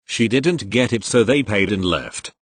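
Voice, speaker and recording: Low-voiced man reading stories aloud. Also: expressive, measured pace, husky. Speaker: narrator reading online posts. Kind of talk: scripted narration.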